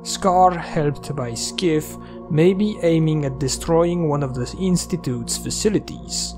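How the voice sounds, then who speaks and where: A man delivering a scripted video breakdown as narrator, in a measured, explanatory way. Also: fairly high pitch. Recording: music under the voice. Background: video game soundtrack music.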